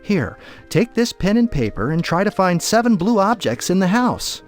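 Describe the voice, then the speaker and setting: Weary dad with swooping pitch. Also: male voice, big pitch swings, moderate pace, soft background music. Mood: distracted. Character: distracted, weary father, mild and put-upon. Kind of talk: video game cutscene voice acting with tutorial instructions.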